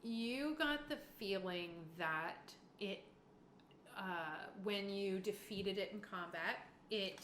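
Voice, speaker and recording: A woman's voice: mid-range voice, slow pace, a bit bright. Lady running a tabletop rpg, chatty narration, echoey room, faint noise. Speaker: game master. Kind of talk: casual tabletop game narration. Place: indoor room.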